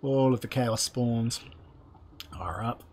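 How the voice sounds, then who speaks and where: A hoarse man, thinking aloud as he plays through a strategy game, hesitant and full of ums. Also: medium pitch, slow, darkish tone, slight background noise. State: hoarse from being unwell. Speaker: gameplay commentator. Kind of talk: casual let's-play commentary. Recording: music playing behind the voice.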